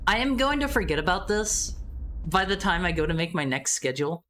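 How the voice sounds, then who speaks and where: A woman's voice: on the low side, big pitch swings, brisk, fairly bright tone, a little hiss or hum. A woman streaming a game, chatting casually and calling out short commands.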